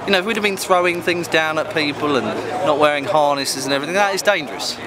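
A man's voice: a little high, quick pace. A British man in his thirties casually telling an anecdote in an interview, recorded outdoors on a handheld camera. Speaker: activist interviewee. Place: open park outdoors with crowds. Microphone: handheld camera at close range. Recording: outdoor crowd noise.

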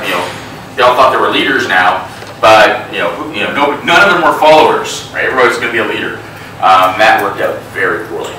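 A middle-aged man leading an interactive talk, animated, gesturing and filling his speech with asides and fillers. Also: mid-range voice. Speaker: speaker. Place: hotel meeting room with a small group. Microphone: lapel mic, filmed from across the room. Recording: echoey room, from a distance, faint hiss.

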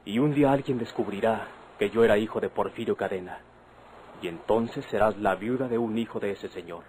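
An earnest young Mexican husband played by a male actor in an old radio drama. His low voice argues in measured, formal phrases, persuasive and pleading. The vintage recording is noisy. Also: a little mellow, lightly acted. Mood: insistent.

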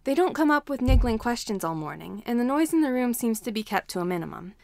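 A woman's voice: a little high, expressive, fast talker, creaky, a little mellow, dry recording. A woman narrating a scripted story as a fan fiction reader.

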